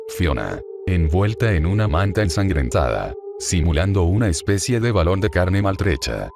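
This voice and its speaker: A man narrating a scripted true-crime horror story in a grave, measured, formal manner. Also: low voice, background noise.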